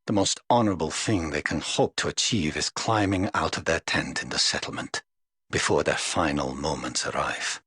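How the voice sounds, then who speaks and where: An English actor voicing a weary, aloof middle-aged knight in a game, speaking in a dry, measured, world-weary way. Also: male voice, rumbling low, fairly bright tone, slightly rough, dry recording, full character voice. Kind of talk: game voice lines.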